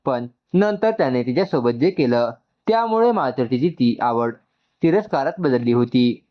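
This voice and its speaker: A man's voice: medium pitch, lively intonation, moderate pace, lo-fi sound. A man narrating an emotional story from a script.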